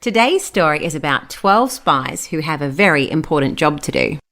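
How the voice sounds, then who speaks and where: A woman's voice: sits low, lively intonation, normal speed, vocal fry, a bit bright. A woman with an Australian accent hosting and reading scripted stories for children, explaining things simply. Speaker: children's Bible story host.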